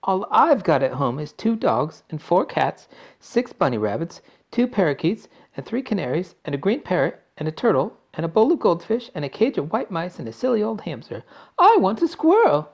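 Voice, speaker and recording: A man voicing a spoiled rich little girl who whines and shouts her demands. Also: high-pitched, very animated, moderate pace, pitched above the performer's own voice, full character voice. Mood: demanding.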